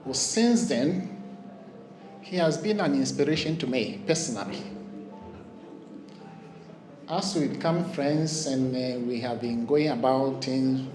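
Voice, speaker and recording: A man from Ghana, giving a slow, sincere, halting tribute at a memorial. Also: medium pitch, music in the background. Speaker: memorial speaker. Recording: echoey hall with crowd.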